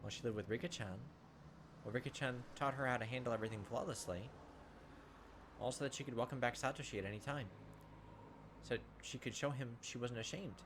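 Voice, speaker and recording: A man's voice: medium pitch, a little fast, pauses often, background noise. A man reading visual novel text aloud in a theatrical, emotive way as a gaming video host. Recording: background music under the voice. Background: game music.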